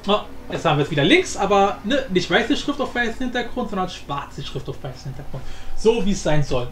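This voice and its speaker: A man with a notably high voice talks through a tutorial in an informal, think-aloud way. He sounds like he is in a reverberant room, with some light noise behind him. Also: sing-song, fairly quick, fairly bright tone. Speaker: tutorial host. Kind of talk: casual screen-recorded tutorial commentary. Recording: roomy echo with background noise.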